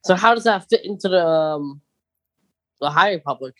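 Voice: medium pitch, lively intonation, normal speed, a bit bright. A podcast co-host chatting casually and conversationally about fandom news, often putting questions to a partner.

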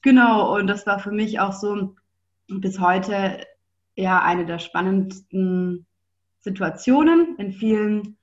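A woman's voice: medium pitch, takes it slowly, muffled recording. A young German woman, a podcast guest, speaking fluently and thoughtfully. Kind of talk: casual interview.

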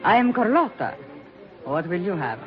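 Flirtatious tavern waitress, lilting. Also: female voice, lowish voice, very animated, normal speed. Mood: inviting. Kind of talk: 1940s radio acting. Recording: muffled old radio recording with loud music.

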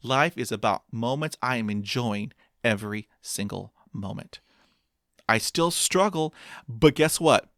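A man's voice: medium pitch, lively intonation, unhurried, fairly bright tone, no echo, clean studio sound. A man in his fifties, a guest in an interview, who stutters with repeated sounds and speaks in an upbeat, motivational way. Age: 50s. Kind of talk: interview conversation.

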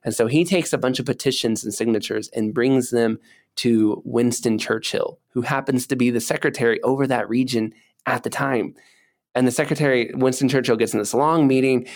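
A man's voice: mid-range voice, a little mellow. Guy co-hosting a history podcast, normal mid voice, super casual storytelling, talks out what people would say. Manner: informal, colloquial, acts out imagined dialogue. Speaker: podcast co-host.

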